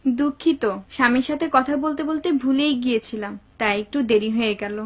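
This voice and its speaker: A woman playing a gentle, pious, grateful wife in a dubbed cartoon, speaking politely and devoutly. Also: high voice, fairly quick.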